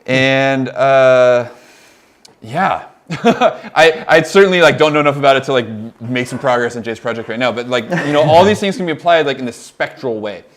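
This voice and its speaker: Excitable young man rambling through a demo. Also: medium pitch, moderate pace. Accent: Californian. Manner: excited, rambling, many "like"s and laughs. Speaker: audio mentor. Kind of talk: casual live-stream software demo.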